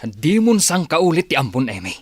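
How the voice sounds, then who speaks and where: An actor as an earnest, protective family man in a radio drama. His husky, fairly high voice swings expressively as he pleads. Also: sing-song, normal speed, no room sound, clean studio sound. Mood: reproachful. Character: protective, pleading husband and father. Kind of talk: Ilocano radio drama acting.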